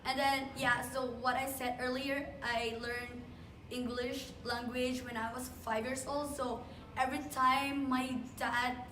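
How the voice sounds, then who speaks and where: A young woman from the Philippines, a Filipino native speaker, talks casually and fills her sentences with "like". Her voice is high but fairly flat. She sounds distant in a roomy space with steady ambient noise. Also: unhurried. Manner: chatty, lots of "like" and restarts. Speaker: interviewee. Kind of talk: casual interview answers. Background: clear ambient noise.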